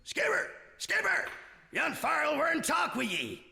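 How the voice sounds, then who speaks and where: An actor playing a rough, loyal ship's bosun who speaks in gruff, broken sailor dialect. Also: male voice, very high-pitched, wildly swooping pitch, measured pace, pushed and bright, echoey room, long reverb tail, a little hiss or hum, pitched above the performer's own voice, full character voice.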